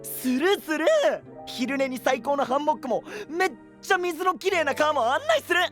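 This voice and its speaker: An actor playing an eager, boyish young knight in an anime voice drama, cheerful and casual in manner. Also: male voice, very high-pitched, normal speed, soft background music. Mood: joyful. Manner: excitable, casual, rustic, boyish.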